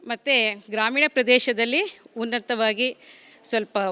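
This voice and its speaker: A woman's voice: on the high side, fairly even intonation, moderate pace, pushed and bright, clean tone, no room sound. A woman giving her opinion on public issues as a member of the public, repeating and rephrasing her points.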